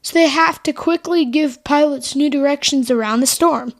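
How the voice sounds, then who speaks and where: A woman with a high voice co-hosts an educational podcast. Her delivery is scripted and aimed at young listeners. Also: moderate pace. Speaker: podcast co-host.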